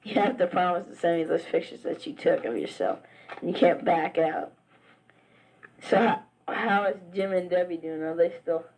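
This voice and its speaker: A man's voice: sits high, darkish tone, low-quality recording. Rambling teenage boy with a Southern accent. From Virginia, US. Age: teens. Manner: rambling, chatty, full of "uh" and restarts. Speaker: high school wrestler. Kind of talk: casual spoken letter to a friend.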